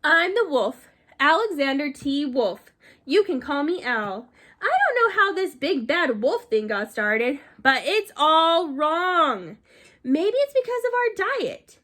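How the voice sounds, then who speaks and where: A woman's voice: high voice, sing-song, slow pace, pushed and bright, voice pushed up, exaggerated voice. A woman reading aloud as a male wolf narrator in a picture book, confiding and conversational. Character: male wolf telling his side, chatty and self-justifying.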